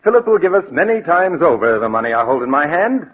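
Slick cold schemer in an old radio drama, fake polite, husky, phone-like sound. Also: male voice, a little high, big pitch swings, fast talker. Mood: smug, lecturing. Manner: suave, falsely courteous. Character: smooth, calculating male blackmailer and schemer, coldly bullying. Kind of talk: 1940s radio thriller acting. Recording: old tinny band-limited radio recording.

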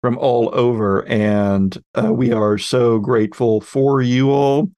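A man hosting a casual podcast chat in a halting, measured way. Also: mid-range voice, fairly even intonation, warm tone, no room sound. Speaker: podcast host.

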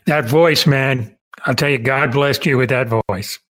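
A man's voice: mid-range voice, measured pace, fairly bright tone. A man hosting a podcast interview in a casual, effusive and informal way. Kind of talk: casual enthusiastic interview chat.

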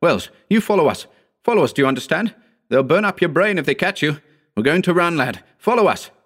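Man voicing a high, urgent, frightened man. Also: sing-song, a little fast. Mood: urgent command. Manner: insistent, breathlessly urgent exclamations. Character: urgent, frightened man taking desperate command. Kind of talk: dramatic audiobook horror reading.

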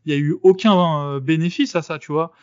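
A man on his own podcast talks through a debate in a loose, casual way. He hesitates a lot and restarts his sentences. His voice sits a little high and sounds dry and dull. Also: fast, darkish tone, no room sound. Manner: hesitant, many fillers and restarts. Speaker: podcast host.

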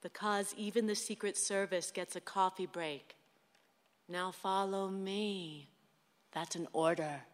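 An actress playing a weary, patient wife in a radio play, wry, coaxing and firm. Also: female voice, medium pitch, steady tone, slow, lightly acted. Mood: fond, coaxing. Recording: long hall-like reverb.

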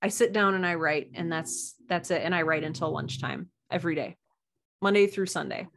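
A woman's voice: fairly low pitch, a little fast, creaky, fairly bright tone, slight background noise. Friendly woman in casual conversation. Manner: friendly, agreeable, encouraging. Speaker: podcast guest, writer.

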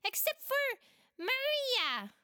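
Here is a big, excitable storybook bird played by a woman, calling out in loud, high exclamations. The voice swoops about with lively energy. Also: slow pace, dry recording, studio quality, heavily acted. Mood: exclaiming.

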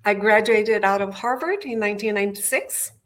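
Brief: middle-aged woman, Portuguese-native Brazilian accent, lively storyteller, interview guest. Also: mid-range voice, a little fast. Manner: enthusiastic storyteller. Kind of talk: interview conversation. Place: home office.

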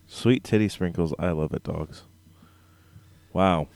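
Slightly hoarse man hosting a podcast. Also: low voice, takes it slowly. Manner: loud, crude, joking. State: a little hoarse. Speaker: podcast host. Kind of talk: casual podcast chat.

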